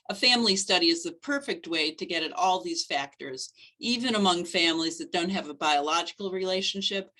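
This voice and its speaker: Middle-aged woman coordinator, low and bright, clear steady delivery, webcam at home. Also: poor audio. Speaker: study coordinator. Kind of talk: scripted presentation. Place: living room at home.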